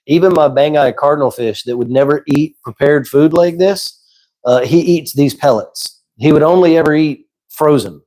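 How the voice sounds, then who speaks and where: A man's voice: a little high, moderate pace, low-quality recording. A man hosting a casual livestream who is losing his voice, chatting easily and answering viewers' questions. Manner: relaxed, conversational, answers chat questions. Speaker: livestream host.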